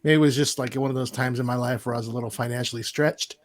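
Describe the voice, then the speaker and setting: A man's voice: mid-range voice, steady tone, quick pace. A man co-hosting a casual podcast, rambling and searching for words. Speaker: podcast co-host.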